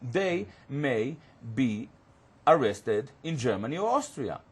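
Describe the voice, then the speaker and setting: A man whose first language is Hebrew, a guest on a studio panel discussion, who talks haltingly and searches for words with many fillers. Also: medium pitch, very animated, breathy edge. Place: community TV studio.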